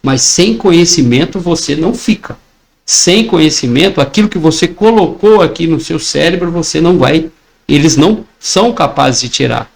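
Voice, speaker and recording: A man's voice: on the high side, expressive, moderate pace, gravelly. A Brazilian man in his forties with a Brazilian Portuguese accent, teaching an online exam-prep lesson in a persuasive way, asking and answering his own questions.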